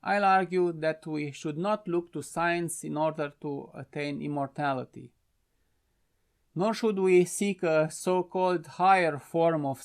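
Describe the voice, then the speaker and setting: Romanian-accented man, gravelly earnest delivery. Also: fairly high pitch. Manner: measured, earnest explanatory delivery. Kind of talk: prepared talk to camera. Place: simple home-office studio.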